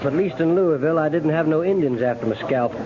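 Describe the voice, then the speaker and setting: Noisy old-radio actor as griping frontier trooper. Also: male voice, on the high side, quick pace, natural voice. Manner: folksy, ungrammatical frontier drawl, sardonic. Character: brash griping cavalry private, frequent stockade prisoner. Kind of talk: 1950s radio western acting. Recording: muffled, noisy 1950s radio recording.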